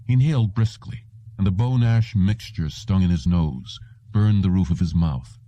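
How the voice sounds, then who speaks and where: Dark, mellow-voiced man narrating fiction. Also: low-pitched, moderate pace, dry recording, dull audio. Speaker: audiobook narrator. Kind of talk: scripted literary narration.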